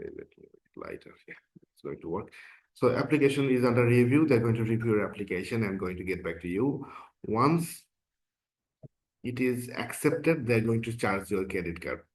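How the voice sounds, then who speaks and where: A man from Bangladesh, a non-native speaker of English whose first language is Bangla, casually explaining an application form step by step as an instructor. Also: lowish voice. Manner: casual and explanatory, frequent "uh" and "okay". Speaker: course instructor. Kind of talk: screen-recorded tutorial walkthrough.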